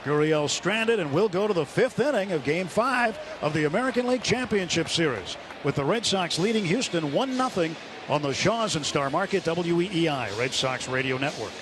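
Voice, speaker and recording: A man calling baseball play-by-play on the radio, building excitement on big pitches. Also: normal speed. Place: ballpark broadcast booth. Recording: radio broadcast over loud crowd noise.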